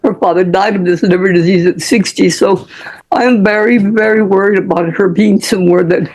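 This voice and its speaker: A woman's voice: mid-range voice, moderate pace, darkish tone, low-quality recording. An older woman giving an emotional, rambling plea as a witness in a courtroom. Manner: rambling, pleading. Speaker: witness, defendant's mother.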